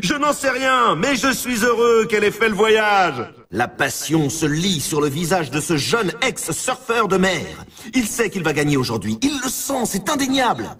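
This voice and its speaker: An excitable video game sports announcer, pitched fairly high and very animated. He delivers scripted commentary with theatrical grandeur, hyping the competition in sweeping rises and falls. The voice has a husky rasp to it. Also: recorded from a distance, full character voice. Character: excitable, grandiose male snowboard championship announcer.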